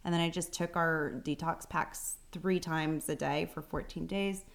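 A woman's voice: deep voice, fairly even intonation, unhurried, gravelly. A woman co-hosting a podcast, chatting casually, often laughing and using fillers like "um" and "like". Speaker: podcast co-host. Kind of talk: casual chat.

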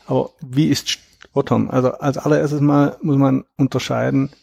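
Gravelly, husky man explaining calmly. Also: medium pitch, dry recording, clean studio sound. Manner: reflective, measured, explains at length. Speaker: interview guest, author. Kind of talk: conversational interview.

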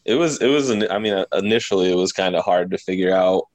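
A man's voice: low-pitched, quick pace, darkish tone, no room sound. A young American man chatting casually as a podcast guest, rambling a little and self-deprecating. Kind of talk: casual chat.

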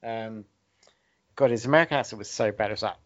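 A man's voice: fairly low pitch, fast. A man with an Essex accent hosting a casual podcast chat, rambling and arguing his points. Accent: Essex English. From Essex, England. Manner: rambling, argumentative, self-correcting. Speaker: podcast host. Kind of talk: casual banter. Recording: dull lo-fi sound.

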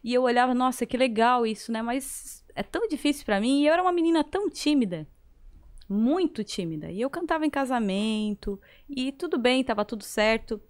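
Casting: woman, higher-pitched voice, Santa Catarina accent, casual anecdotal chat with bits of re-enacted dialogue. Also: animated, a little fast. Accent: southern Brazilian, Santa Catarina. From southern Brazil. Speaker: guest, singer. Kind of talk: casual conversational storytelling.

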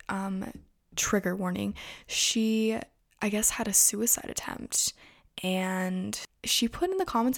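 A young woman talks straight to her viewers in a loose, chatty vlog style, her voice slightly raspy today. She sits in a middle pitch for a woman and keeps the talk rolling with constant "like" and "you know". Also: a little mellow. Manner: chatty, filler-heavy, frequent "like" and "you know". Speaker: influencer news vlogger. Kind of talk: casual gossip commentary to viewers.

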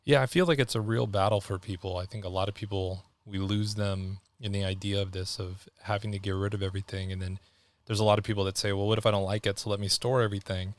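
A man's voice: fairly low pitch, quick pace. A man in his forties hosting a podcast, chatting casually and animatedly, acting out what other people say. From United States. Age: 40s. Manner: animated, quotes people in lively voices. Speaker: podcast host.

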